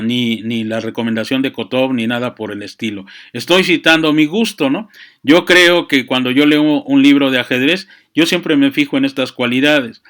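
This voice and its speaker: A man giving a reflective, unscripted monologue, often halting to search for words and adding verbal tags. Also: mid-range voice, a bit bright. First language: Spanish. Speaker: speaker on chess and literature. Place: small room with books.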